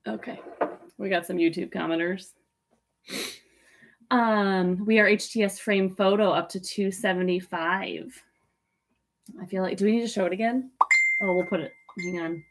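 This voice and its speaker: A woman's voice: mid-range voice, moderate pace, pauses often, slight background noise. A woman in her thirties casually co-hosting an online auction over a webcam in a small room, chatty and giggly while checking messages. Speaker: auction co-host. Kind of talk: casual livestream auction chat. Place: indoors, small room with tiki decor.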